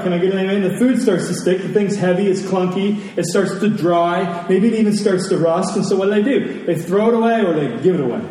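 A man's voice: high voice, warm tone. Echoey male preacher in a big hall. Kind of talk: conversational sermon with prayer. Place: large reverberant room, church service. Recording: very echoey large hall, distant, noisy.

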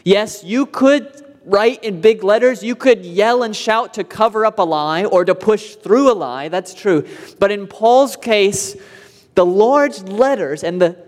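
A man preaching in a church, his fairly high voice carrying through a long, echoing reverb. He explains step by step and climbs to earnest emphasis when a point matters. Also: unhurried. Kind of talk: expository sermon.

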